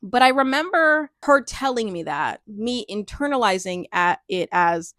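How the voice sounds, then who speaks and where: A young adult woman speaking candidly in a reflective personal monologue, thoughtful and searching, with frequent "like" fillers and soft laughs. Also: a little high, lively intonation, measured pace, dry recording, studio quality. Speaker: personal storyteller.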